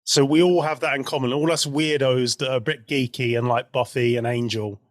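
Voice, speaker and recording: A man's voice: mid-range voice, steady tone, brisk, dry recording, studio quality. Chatty man co-hosting a podcast. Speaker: podcast co-host. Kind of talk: casual podcast chat.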